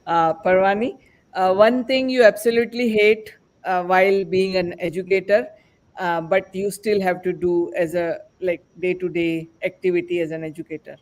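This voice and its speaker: A man hosting a panel discussion as podcast host, speaking in a halting, rambling way. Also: high-pitched, fairly even intonation.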